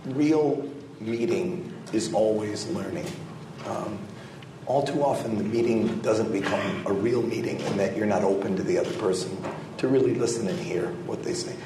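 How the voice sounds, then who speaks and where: Man, raspy, hoarse from a cold, lowish voice, panel remarks, echoey room with background noise. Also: normal speed. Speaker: panelist, scholar. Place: hotel conference room.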